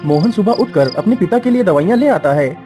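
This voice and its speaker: A man's voice: high-pitched, expressive, brisk, dark tone, faint music behind. A man narrating a scripted cartoon story in a storytelling style.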